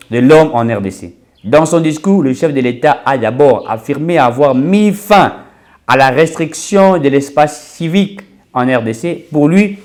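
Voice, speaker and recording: A man's voice: mid-range voice, darkish tone. Congolese man hosting a news talk show. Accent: Congolese French. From Democratic Republic of Congo. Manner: mixes French with Lingala, hesitant fillers and restarts. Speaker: online news show host. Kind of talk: reading news and commenting.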